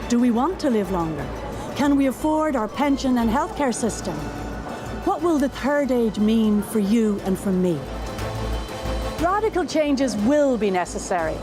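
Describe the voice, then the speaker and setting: A woman presenting a documentary, narrating in a clear, measured, guiding way. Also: a little high, animated. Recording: music bed under narration.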